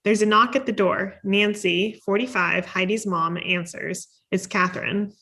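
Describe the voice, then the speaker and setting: A woman narrates screenplay stage directions at a table read. Her tone is bright and clear-cut, at an average pitch. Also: steady tone, a little slow.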